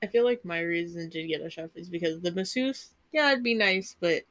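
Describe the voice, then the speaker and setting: A young woman co-hosting a casual podcast, her deep voice carrying a bright, forceful edge. She answers in short, hesitant bits and thinks things over before she commits. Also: a little fast. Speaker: podcast co-host.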